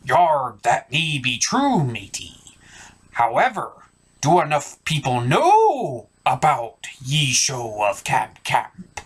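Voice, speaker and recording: A man's voice: medium pitch, huge pitch swings, slow pace, slightly rough, full character voice. A man voicing a skeptical, nagging pirate puppet who drawls in pirate speak for a comedy puppet show.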